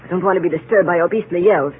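A man's voice: sits high, racing, slightly rough. An actor playing a bossy young English boy who leads his playmates with solemn, commanding formality in a 1930s radio drama. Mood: brisk, stern. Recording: tinny, muffled 1930s radio broadcast.

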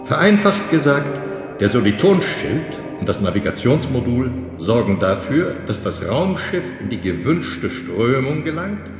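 Echoey, methodical lecturing professor. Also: male voice, medium pitch, sing-song. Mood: patient, explanatory. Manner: formal, didactic, measured explaining. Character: male professor lecturing trainee pilots, instructive and methodical. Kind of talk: German sci-fi audio drama lecture. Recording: echoey lecture hall, music underneath.

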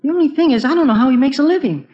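Whiny, high-voiced teenage boy. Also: lively intonation, rapid-fire, a little mellow. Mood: worried, complaining. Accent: American. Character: Homer, whiny, indignant, worrying teenage boy. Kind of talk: 1940s radio comedy acting. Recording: dull, echoey vintage radio recording.

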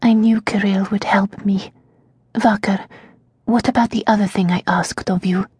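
A woman voicing a young noblewoman prisoner awaiting execution, who speaks in a hushed, detached whisper. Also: mid-range voice, fairly even intonation, moderate pace, husky, no room sound, clean studio sound. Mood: urgent.

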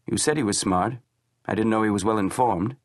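A man's voice: fairly low pitch, fairly quick, no echo, studio quality, voice pushed up. A man voicing a terse senator in his sixties who speaks curtly. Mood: wry. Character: terse older male senator, displeased.

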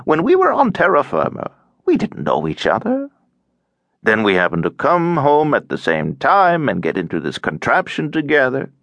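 A man's voice: medium pitch, huge pitch swings, moderate pace, creaky, a bit bright, dry recording, muffled recording, voice pushed up, full character voice. A man voicing a cheerful, tiresome, garrulous elderly Russian émigré in an audiobook, droning on with over-familiar chattiness. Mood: musing, insistent. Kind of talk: audiobook character acting.

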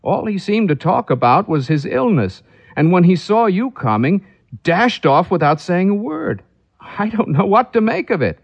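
A man voicing a brisk, cheerful middle-aged aunt who prattles on as a hostess in an audiobook story. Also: high-pitched, sing-song, creaky, husky, highs cut off, voice pushed up, full character voice. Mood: puzzled, chatty.

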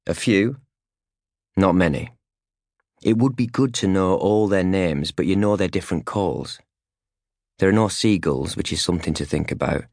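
Male audiobook narrator, very low pitch, terse clipped phrasing, close dry studio sound. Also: no room sound. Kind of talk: scripted first-hand fiction narration in second person. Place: recording studio.

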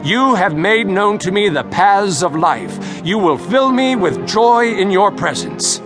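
An earnest apostle, highish voice. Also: male voice, very animated, exaggerated voice. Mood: reverent. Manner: declamatory, deliberate. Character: earnest male apostle preaching boldly to a crowd. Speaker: actor. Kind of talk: dramatized audio Bible oratory. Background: music.

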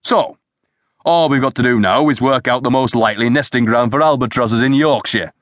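A man's voice: on the low side, fast, fairly bright tone, no room sound, studio quality, close to an everyday voice. A man voicing a fat, sarcastic, blustering senior police detective in an audiobook. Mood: scornful, sarcastic. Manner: bellowing, sarcastic, coarse. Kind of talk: audiobook character dialogue.

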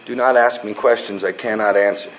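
An actor playing a wry, dry-witted ballplayer who also narrates, in deadpan, formal phrasing. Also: male voice, fairly low pitch, a little fast, highs cut off, close to an everyday voice. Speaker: narrator and character. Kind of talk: radio drama acting.

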